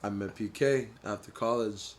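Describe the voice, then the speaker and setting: A man with a Honduran family background, telling his story in a laid-back, low-key casual chat. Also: normal speed. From Honduran family, grew up in the US. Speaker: DJ and producer, guest.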